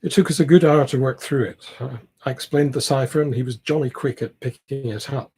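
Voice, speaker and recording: A man with a slightly husky voice reading a classic novel aloud, now and then stumbling over words. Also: medium pitch, steady tone, a little fast, darkish tone, a little hiss or hum. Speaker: amateur audiobook reader, library team member.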